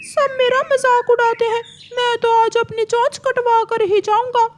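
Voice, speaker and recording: A woman's voice: extremely high pitch, level tone, unhurried, slight background noise. A woman voicing a hurt, sulky young male parrot with a whiny, pleading manner in a children's cartoon. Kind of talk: Hindi children's cartoon dialogue.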